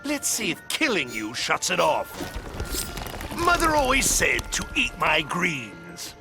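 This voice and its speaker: An actor playing a manic, cackling villain clown, theatrical and mocking, with sinister drawn-out taunts. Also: male voice, fairly high pitch, wildly swooping pitch, exaggerated voice. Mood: sly taunting. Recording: game music behind the voice.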